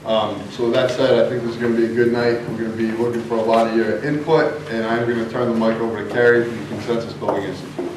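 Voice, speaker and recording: A man opening a public meeting and introducing speakers, talking haltingly with many fillers. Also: medium pitch, steady tone. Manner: halting, many ums and uhs. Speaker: town official opening a meeting. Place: large meeting room. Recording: echoey hall from far off with noise.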